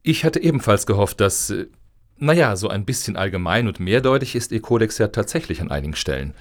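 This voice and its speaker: A man's voice: on the low side, expressive, brisk. A man playing a self-assured, blunt spokesman for a provocative pressure group in a satirical radio play. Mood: pointed.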